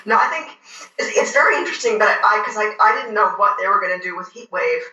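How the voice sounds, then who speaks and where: Bright-voiced woman in an echoey room. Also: medium pitch, lively intonation, fast talker, dull audio. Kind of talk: casual chat reviewing TV. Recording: echoey, roomy sound.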